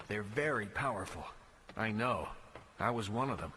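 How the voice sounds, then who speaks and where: An actor playing a wary, skeptical Jedi newcomer with a blunt, puzzled manner in a sci-fi audio drama. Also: male voice, on the low side, lively intonation, normal speed, sharp tone, a little hiss or hum. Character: wary, skeptical male Jedi newcomer, former dark-side warrior.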